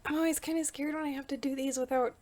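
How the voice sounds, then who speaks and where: A woman's voice: high-pitched, fairly even intonation, fast, darkish tone. A woman giving casual, informal behind-the-scenes commentary on her drawing, with a self-deprecating manner. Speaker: portrait artist and voice actor for a fan manga dub.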